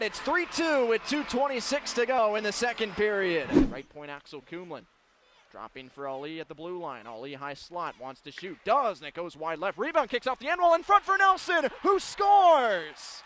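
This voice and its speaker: A man calling live hockey play-by-play on the radio in an excitable manner, ready to build into shouted calls. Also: sits high. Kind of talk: live sports radio commentary. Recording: live broadcast with clear background noise.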